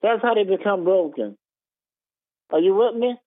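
Insistent caller on a tinny phone line. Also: male voice, high-pitched, lively intonation, moderate pace, no echo. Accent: Southern US. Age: 50s. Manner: insistent, argumentative, repeats himself. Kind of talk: call-in radio talk.

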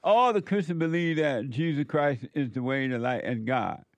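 A man's voice: mid-range voice, moderate pace, dry recording. A man hosting a casual chat who reacts to posts with laughter and playful repetition. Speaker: show host.

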